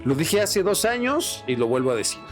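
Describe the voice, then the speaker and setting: A man's voice: on the high side, sing-song, normal speed, over music. A man with a Mexican accent talking casually on a podcast, informal, slangy and opinionated. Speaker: podcast co-host.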